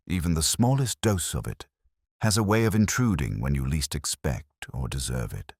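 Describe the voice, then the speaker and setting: Casting: male actor as brooding detective narrator, extremely low husky voice, literary and reflective, close dry sound. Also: dry recording, exaggerated voice. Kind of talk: scripted first-person detective narration, audio drama.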